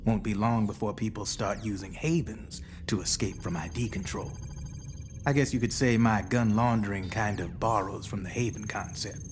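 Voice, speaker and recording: A man playing low-voiced streetwise arms dealer. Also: normal speed, music in the background, close to an everyday voice. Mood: smug, emphatic. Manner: folksy, cocky, explanatory. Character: streetwise male arms dealer, smug and worldly. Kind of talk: cinematic video game acting, expository dialogue.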